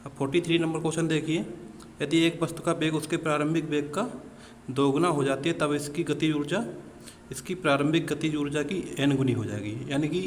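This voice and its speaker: A man works through practice questions as a coaching teacher. His voice sits a little high, and he explains things to his listeners as friends, dropping in English technical words. The recording is reverberant, with some noise behind him. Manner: mixes English technical terms into speech. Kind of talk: practice question walkthrough lesson. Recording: reverberant room with background noise.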